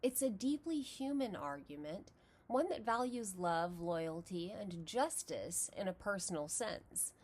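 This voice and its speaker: A woman with a Texas accent, narrating a scripted philosophy lesson in a measured, explanatory way. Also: medium pitch, animated, a little mellow. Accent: Texan. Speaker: philosophy lecturer.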